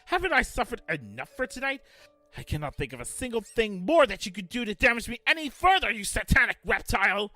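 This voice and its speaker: A man's voice: high voice, very animated, fairly quick, sharp tone, dry recording, exaggerated voice. A man playing a desperate, bitter middle-aged father in a fan audio drama, melodramatic and indignant. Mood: outraged. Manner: outraged, pleading, melodramatic. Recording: music under the voice.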